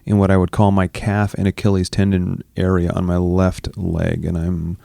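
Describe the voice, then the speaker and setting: A man's voice: low voice, completely flat delivery, moderate pace, creaky, warm tone, dry recording, studio quality. A man co-hosting a running podcast, chatting in a teasing, rambling way. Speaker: podcast co-host. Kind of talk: casual chat. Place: studio.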